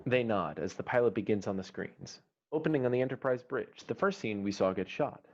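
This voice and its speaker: A man's voice: fairly low pitch, lively intonation, a little fast, a little mellow. A man reading screenplay stage directions as narrator at an online table read. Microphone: video call. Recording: dull lo-fi online call audio.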